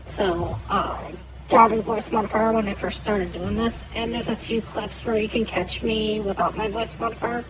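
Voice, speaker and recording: A woman's voice: mid-range voice, fairly even intonation, moderate pace, noisy background. Woman streamer through a voice modifier. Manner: candid, rambling, many fillers. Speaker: game streamer. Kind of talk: casual chat while streaming a card game. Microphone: cheap desk mic. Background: game music.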